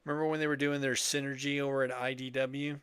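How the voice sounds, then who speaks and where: A man's voice: medium pitch, level tone, quick pace, a bit bright, clear, resonant tone, dry recording. A middle-aged man chatting casually as a podcast host, rambling and jokey. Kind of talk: casual chat.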